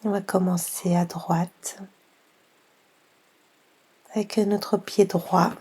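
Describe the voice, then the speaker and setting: Slow, breathy woman guiding meditation. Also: lowish voice, flat delivery. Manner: soft, breathy, half-whispered; unhurried, gentle instructions with long pauses. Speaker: yoga nidra guide.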